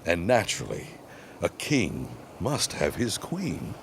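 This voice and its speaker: An actor playing a suave, ambitious gentleman suitor of 1916 in a period audio drama, with courtly and grandiose formal speech. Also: low voice, wildly swooping pitch, slow, heavily acted. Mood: ardent. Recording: clear background ambience noise.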